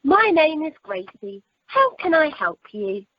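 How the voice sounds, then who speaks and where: Literal-minded, cheery airline assistant, woman performer; amateur radio comedy, animated, on a dry dull phone line. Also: high voice, measured pace. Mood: helpful. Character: chirpy, dim, literal-minded female airline check-in assistant. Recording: muffled, dry telephone conference line.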